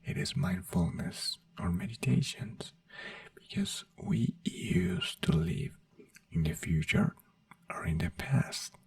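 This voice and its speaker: A man whispering slowly and gently in an ASMR roleplay as a neurologist, with halting, simple phrasing. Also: low voice, huge pitch swings, vocal fry, no room sound, recorded from a distance. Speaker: ASMR creator playing a neurologist.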